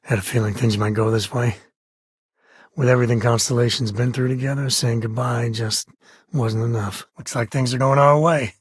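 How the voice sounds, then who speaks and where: An actor playing a rugged space-ranger father in a video game, with a husky, raspy voice and a wry, earnest, conversational manner. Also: mid-range voice, steady tone, normal speed, no echo, lightly acted. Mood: somber, earnest, then energized, alert. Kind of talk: video game character voice lines.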